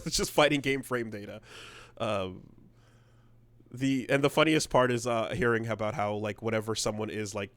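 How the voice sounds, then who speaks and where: A man's voice: fairly low pitch, dry recording. Dry-voiced rambling podcast co-host man. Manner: rambling, animated, swears casually. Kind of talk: casual chat.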